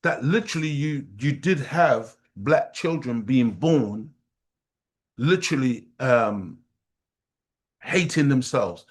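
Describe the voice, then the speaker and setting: A man's voice: medium pitch, animated, slow. A middle-aged man from Jamaica giving an impassioned community teaching talk, emphatic and preacher-like, repeating phrases for weight. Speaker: study group speaker.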